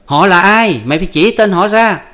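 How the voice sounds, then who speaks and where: A man voicing a sly, foul-mouthed peasant wife who scolds and curses in a high, loud voice. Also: animated, normal speed, lightly acted. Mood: scolding, cursing. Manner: shrill scolding and cursing. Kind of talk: storybook reading.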